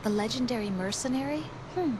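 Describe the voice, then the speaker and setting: Lady playing a caring young analyst, gentle n evasive, highish voice, game call with noise behind. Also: lively intonation, a little fast, lightly acted. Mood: supportive. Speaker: mission analyst. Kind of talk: video game dialogue acting. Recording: noisy game audio capture.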